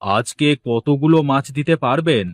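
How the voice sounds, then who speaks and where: A man playing a smooth, evasive fish trader from the city in a cartoon, politely businesslike and reassuring. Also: medium pitch, expressive, unhurried, no room sound, close to an everyday voice. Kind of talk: cartoon dialogue acting.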